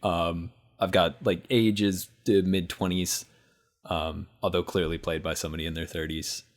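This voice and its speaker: Casting: male game player, deep voice, conversational, thinking out loud in stops and starts. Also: level tone, normal speed. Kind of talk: casual, halting explanation of a character.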